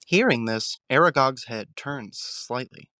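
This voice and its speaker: Dry, mid-pitched male narrator. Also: expressive, unhurried, sharp tone, no echo. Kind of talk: scripted narration of a fan audio drama. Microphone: close mic, dry recording.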